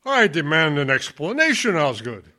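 A man's voice: a little high, huge pitch swings, normal speed, sharp tone, heavily acted. A man playing a stern, indignant head of a school board, demanding answers in radio comedy style. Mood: outraged.

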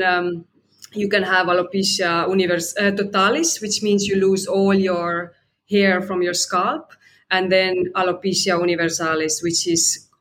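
A woman's voice: on the low side, a bit bright, slight background noise. Guest, woman from Estonia, English, chatty and reflective. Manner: conversational, many fillers and restarts, rising "right?" tags. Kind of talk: personal storytelling interview.

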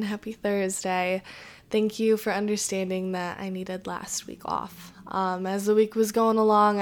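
Raspy-voiced woman chatting on her podcast. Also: medium pitch. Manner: chatty, rambling, many "like"s and "um"s. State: raspy from a sore throat. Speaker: podcast host. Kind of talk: casual solo podcast chat. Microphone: podcast microphone.

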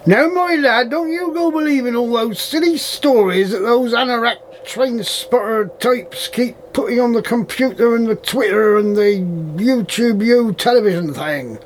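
Man acting a high-voiced rustic English farm manager. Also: moderate pace, hardly any pauses, a little hiss or hum, rough recording. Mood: warning. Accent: rural English. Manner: folksy dialect, addresses others as young lad. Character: gruff old-fashioned male farm manager, suspicious yet chatty. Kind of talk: audio drama acting.

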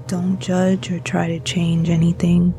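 A woman guiding a meditation as a narrator, speaking in a soothing, gentle and breathy manner. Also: on the low side, completely flat delivery, measured pace, music in the background. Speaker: meditation guide.

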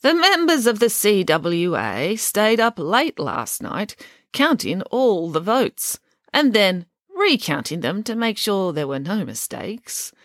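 Woman playing a ceremonious, deliberate club president. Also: medium pitch, big pitch swings, fairly bright tone, dry recording, studio quality, pitched above the performer's own voice, full character voice. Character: formal woman club president announcing a winner. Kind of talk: children's audiobook character acting.

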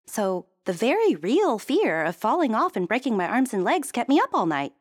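A woman's voice: a little high, very animated, moderate pace, no echo, clean studio sound, voice pushed up. A woman voicing a blunt, sensible young woman in a storybook, sounding incredulous.

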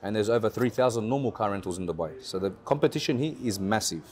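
A man, a car rental business owner, telling his story as a guest in a casual interview, animated and repetitive, with slang. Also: lowish voice, quick pace. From Australia.

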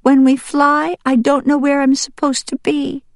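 A woman's voice: high-pitched, little pitch movement, unhurried, no room sound, clean studio sound, pitched above the performer's own voice. A woman voicing a plaintive young male wild duck who pleads earnestly like a child in an audiobook. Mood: lonely, upset. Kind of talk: audiobook character voice.

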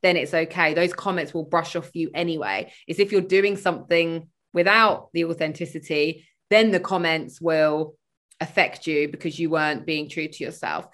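Woman, lower pitch, chatty, rambling, video call audio. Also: fairly even intonation, moderate pace, a bit bright. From born in Sweden, grew up in London. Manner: chatty, rambling, frequent fillers and laughs. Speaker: guest, spiritual mindset coach. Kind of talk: casual conversational interview.

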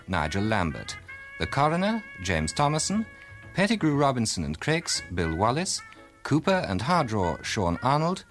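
A man with a British accent reading closing credits as a scripted radio broadcast announcement. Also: medium pitch.

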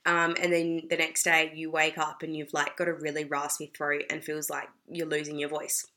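A young Australian woman talks to camera in a loose, rambling way, peppering her speech with "like" and "you know". Her voice sits a little low for a woman yet sounds bright and forceful, with a slight hoarseness from a cold. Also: completely flat delivery, moderate pace. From South Australia. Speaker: vlogger. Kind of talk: casual talk to camera.

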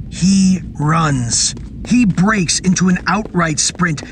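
A man narrating a scripted horror story in a dramatic, suspenseful way, with deliberate phrasing and pauses. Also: fairly high pitch, fairly bright tone, a little hiss or hum.

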